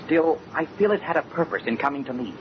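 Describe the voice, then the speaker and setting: An actor playing an earnest, agitated young fiancé in an old-time radio mystery, speaking with dramatic insistence. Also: male voice, on the high side, expressive, quick pace, natural voice. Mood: brooding, intent. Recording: muffled, noisy old radio recording.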